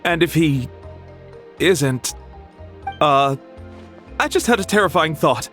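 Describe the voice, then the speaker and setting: A man voicing an excitable, gossipy young townsman in a video game, chatty and dramatic. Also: a little high, takes it slowly, faint music behind. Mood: speculative, excited. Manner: chatty, dramatic, hesitant musing.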